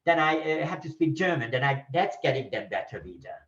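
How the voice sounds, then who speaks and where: A man's voice: mid-range voice, very animated. A man with a Hungarian accent and Hungarian as his first language, a ballet teacher talking in an interview, rambling and restarting often. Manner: rambling, halting, frequent repeats and restarts, laughing. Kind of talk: casual interview talk. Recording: roomy, distant, dull lo-fi sound.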